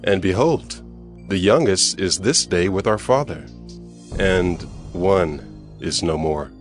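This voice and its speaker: A man's voice: low voice, slow. A man playing a grave older brother who speaks solemnly in a dramatized scripture reading, over faint music.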